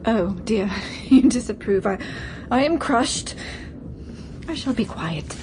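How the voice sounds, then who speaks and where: High-voiced cheeky girl. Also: lively intonation, slow pace, a little mellow. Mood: mock contrite. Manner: playful, teasing, theatrical. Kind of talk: radio drama dialogue. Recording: faint background noise and ambience.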